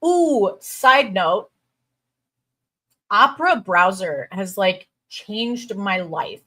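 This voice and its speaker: A woman's voice: medium pitch, expressive, sharp tone. A woman in her thirties with a Chicago accent, a crypto recruiter chatting casually on a livestream and reading viewer comments. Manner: bubbly, chatty, quick asides and fillers.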